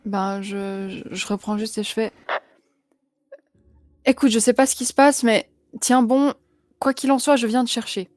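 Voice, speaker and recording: A woman's voice: fairly high pitch, level tone, measured pace, long pauses, a little mellow, no echo. Hesitant woman playing a tabletop roleplaying game. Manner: hesitant, thinking aloud. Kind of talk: improvised in-character roleplay and casual table talk.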